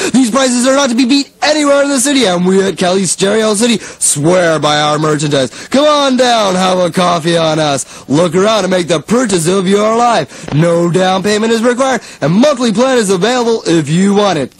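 A man playing an eager stereo store owner pitching in a local radio commercial, folksy, emphatic and husky. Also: lively intonation, moderate pace, hardly any pauses, rough recording.

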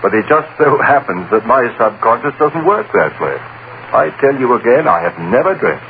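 An actor playing a haunted, condemned man recounting a nightmare in halting, theatrical phrases, in the style of old-time radio horror. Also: medium pitch, sing-song, phone-quality sound. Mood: brooding, weary. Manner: theatrical, halting, broken phrases with long dramatic pauses. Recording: noisy, band-limited vintage radio recording.

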